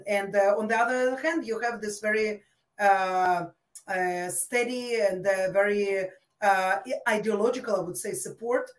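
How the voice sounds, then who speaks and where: A woman from Russia answering interview questions in a thoughtful, hesitant way, with many fillers. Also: medium pitch. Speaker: interview guest, expert. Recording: roomy, distant from mic.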